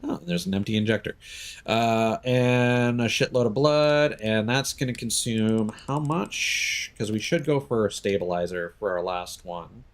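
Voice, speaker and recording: A man's voice: lowish voice, measured pace. A man giving casual live gameplay commentary as a streamer, thinking aloud as he plays. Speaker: game streamer.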